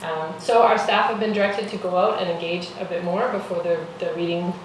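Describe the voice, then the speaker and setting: A woman chairing a council meeting, speaking in a measured, reassuring way with some ums. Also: lowish voice, steady tone. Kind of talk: formal meeting chair, casual phrasing. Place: council chamber. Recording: echoey council chamber, far mic, noisy.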